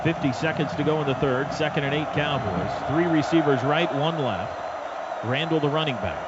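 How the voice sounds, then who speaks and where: An American man does play-by-play of a football game on the radio. His voice sits somewhat high and can rise to a shout when a play breaks. The sound is dull and lo-fi, with clear noise from the stadium behind him. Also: lively intonation, moderate pace, over a noisy crowd. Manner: shouts during big plays.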